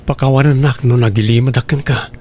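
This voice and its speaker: A man's voice: medium pitch, fairly even intonation, a little fast, warm tone, close to an everyday voice. Man acting a hesitant lover, noisy ambience. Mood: apologetic, pleading. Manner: hesitant, earnest. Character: married man, evasive, apologetic lover confessing his deceit. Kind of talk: radio drama acting.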